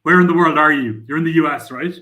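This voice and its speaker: A man's voice: on the high side, fast talker, bright, forceful tone. Middle-aged Irish man, rambling founder. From Ireland. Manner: rambling, frequent "I suppose" fillers. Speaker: startup founder. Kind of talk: casual podcast interview.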